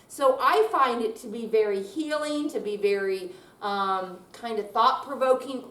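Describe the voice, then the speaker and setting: A woman giving an informal, chatty and encouraging welcome speech to an audience as the host of an event. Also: a little high. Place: indoor room at a senior center. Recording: roomy, distant mic, noisy hall.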